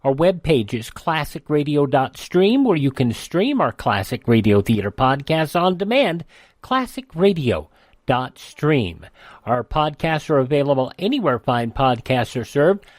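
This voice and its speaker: Animated male radio host, dry studio sound. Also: on the high side, a little slow, no echo. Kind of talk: scripted radio presenting. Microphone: close studio microphone.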